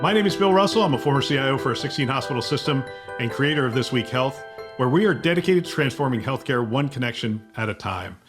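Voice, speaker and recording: A man's voice: medium pitch, expressive, fast talker. A man reading a scripted show introduction in an upbeat, polished way. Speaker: show creator, host. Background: faint music.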